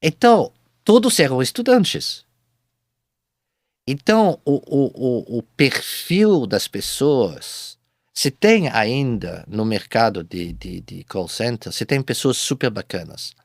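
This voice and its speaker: A man speaking Portuguese as a second language, a guest telling his business story in a halting way, with many repeated false starts. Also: medium pitch, sing-song, creaky, no echo, clean studio sound. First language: not Portuguese. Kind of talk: casual interview storytelling.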